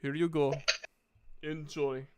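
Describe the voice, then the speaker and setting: A man's voice: fairly high pitch, level tone, takes it slowly, darkish tone, clear, resonant tone. A man playing a friendly, grateful human tavern worker in a tabletop game. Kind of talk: tabletop roleplay character acting.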